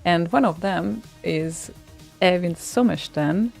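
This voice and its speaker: A woman's voice: fairly low pitch, slow pace, gravelly, darkish tone, soft background music. A woman hosting a radio show, chatting casually in a rambling way with many fillers and a dry, teasing humor. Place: radio studio.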